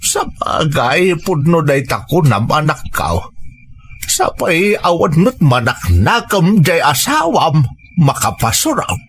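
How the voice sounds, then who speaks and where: A man with an Ilocano accent playing a plainspoken older father and grandfather in an audio drama, gruff but supportive, with a husky voice. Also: on the high side, sing-song, takes it slowly, a bit bright, slight background noise, exaggerated voice. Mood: grumbling, resigned.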